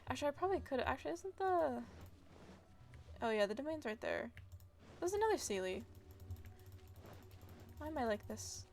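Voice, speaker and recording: Young woman, high voice, casual streamer chat, muttered asides, faint noise, clicking keys. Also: very animated, normal speed, creaky, pauses often. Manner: mutters to self while playing. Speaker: game streamer. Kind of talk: casual livestream gameplay chat.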